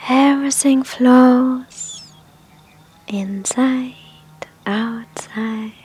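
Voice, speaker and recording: A woman leads a guided meditation in a high, soft voice. Her delivery is slow and unhurried, leaving long pauses between gentle instructions. The tone is airy and husky, often close to a whisper.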